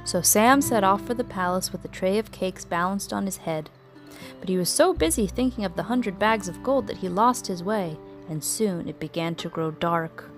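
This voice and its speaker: A woman reads a children's story with expressive, dramatic delivery. Her pitch sits in a middle range, and music plays faintly behind her. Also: normal speed. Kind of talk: scripted children's story narration.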